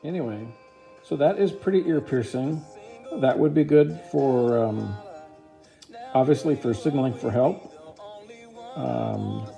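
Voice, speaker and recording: A man's voice: mid-range voice, unhurried, gravelly, long pauses, over music. A man giving a casual, informal product review, explaining features plainly step by step.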